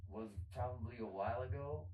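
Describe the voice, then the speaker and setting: A middle-aged man from Arizona, a guest musician telling stories in a relaxed, wry way during a casual interview. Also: medium pitch, fairly quick, slight background noise. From Arizona, USA. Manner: relaxed, wry storytelling with laughs. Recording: distant, phone-like, echoey with music.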